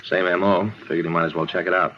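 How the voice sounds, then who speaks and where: An actor playing a plainspoken, clipped police detective in a 1950s radio crime drama. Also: male voice, low-pitched, flat delivery, quick pace, lightly acted. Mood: businesslike. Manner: casual, clipped. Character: plainspoken male police detective, the businesslike partner. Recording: muffled, slightly noisy 1950s radio recording.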